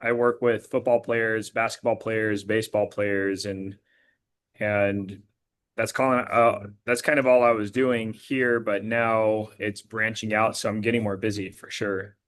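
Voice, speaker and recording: A man, a coach who has partly lost his voice from yelling, answering interview questions casually with lots of fillers and restarts. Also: fairly low pitch, little pitch movement, a little slow. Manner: rambling, many fillers and restarts. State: voice partly lost, a bit hoarse. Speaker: blood analysis practitioner and girls flag football coach.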